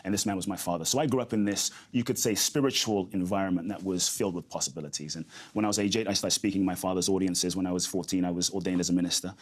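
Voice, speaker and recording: Interview guest: man, rapid and earnest, a bit low-voiced, reflective. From grew up in London. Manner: earnest and reflective, repeats key phrases. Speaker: guest, inspirational speaker. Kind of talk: interview conversation.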